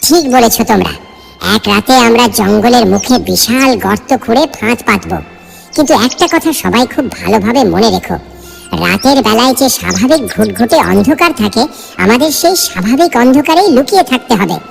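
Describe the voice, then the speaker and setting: An authoritative cartoon village leader, played by a woman, gives emphatic orders in a heavily creaky, lively voice. The recording has long hall reverb. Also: fairly high pitch, brisk, faint music behind. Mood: commanding. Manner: instructive, emphatic.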